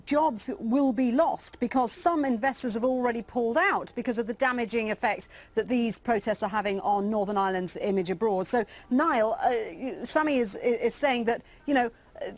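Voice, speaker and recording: A woman presenting a televised political debate, briskly steering between guests and reading out viewer comments. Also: fairly high pitch.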